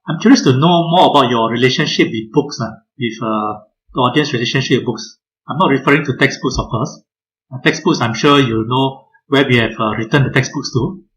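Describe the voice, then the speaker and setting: A man's voice: medium pitch, a bit bright, poor audio. Hesitant man giving a webinar talk. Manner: measured, frequent "uh" hesitations. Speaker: guest speaker. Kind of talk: online webinar presentation.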